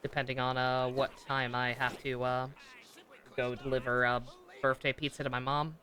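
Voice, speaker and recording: A man's voice: mid-range voice, level tone, normal speed, bright, forceful tone. A man giving rambling, enthusiastic commentary as a casual game streamer.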